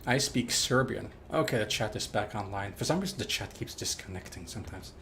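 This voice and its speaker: Young man, low-ish voice, hesitant live-stream chatter. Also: animated, gravelly, a bit bright, slight background noise. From Norway. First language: Norwegian. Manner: halting, repeats words, chatty with viewers. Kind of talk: live gameplay commentary.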